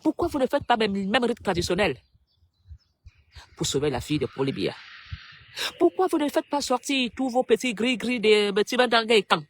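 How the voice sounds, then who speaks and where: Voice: medium pitch, sing-song, moderate pace, long pauses. A speaker from Cameroon delivers a preachy, rhetorical political monologue to the public. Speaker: political commentator.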